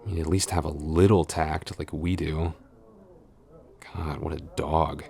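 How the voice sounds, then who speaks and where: A man's voice: very deep voice, steady tone, a little slow, creaky, a little mellow, heavily acted. A man playing a flustered tsundere boyfriend and coworker, grumbling but smitten, in an intimate, hesitant, mock-scolding ASMR audio roleplay. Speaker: voice actor.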